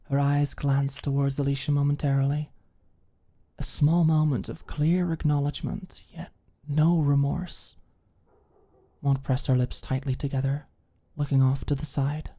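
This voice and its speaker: A woman's voice: deep voice, little pitch movement, unhurried, mellow. A woman narrating a scripted story in the style of an ASMR audiobook.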